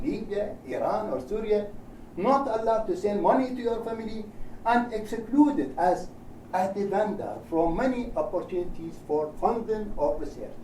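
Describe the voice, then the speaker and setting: A man with a high, mellow voice speaks in Iraqi-accented, non-native English. He delivers a formal prepared speech in deliberate short chunks. The sound is roomy and echoing, picked up at some distance from the mic. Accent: Iraqi Arabic. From Iraq. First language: Arabic. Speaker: human rights organisation director and panel speaker. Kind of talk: formal speech read from notes. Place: indoor event room at a panel discussion. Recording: roomy echo, distant mic, faint noise.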